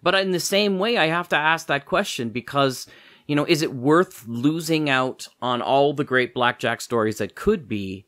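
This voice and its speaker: A man's voice: a little high, animated, normal speed, fairly bright tone. A man talking casually as a podcast host and interviewer.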